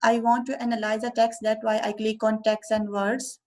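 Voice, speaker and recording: Young Urdu-speaking woman, fairly high voice and flat delivery; walks through a software demo step by step. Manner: slow, careful step-by-step explaining. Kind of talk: screen-recorded software tutorial.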